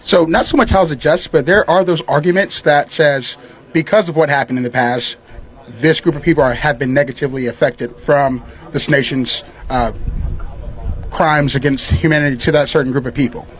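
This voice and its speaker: A man giving measured, somewhat hesitant replies in a debate. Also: a little high, rough recording. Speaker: debate participant.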